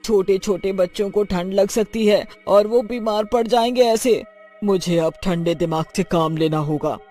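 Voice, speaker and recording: A man's voice: high voice, normal speed, darkish tone, faint music behind, close to an everyday voice. A man voicing an earnest, well-meaning female witch in a cartoon, pleading emphatically in dramatic dialogue. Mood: worried, urgent.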